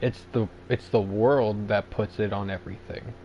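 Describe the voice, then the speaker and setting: A man's voice: fairly low pitch, fairly even intonation, moderate pace, dull audio, a little hiss or hum. A man with a Canadian accent chatting casually on a livestream, rambling with lots of fillers and restarts as he answers viewers. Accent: Canadian English. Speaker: streamer answering chat.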